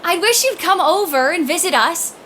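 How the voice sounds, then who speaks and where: A woman's voice: very high voice, lively intonation, normal speed, bright, forceful tone, a little hiss or hum, exaggerated voice. A woman playing a bold, talkative, frank teenage girl in an audio play. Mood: eager, chatty. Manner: eager, frank, chatty.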